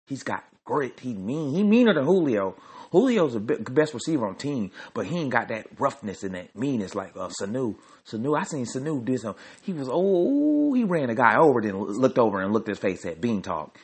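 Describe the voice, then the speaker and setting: Male podcast host, husky voice, swooping pitch, jokey sports rant. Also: moderate pace. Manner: animated, repetitive, joking.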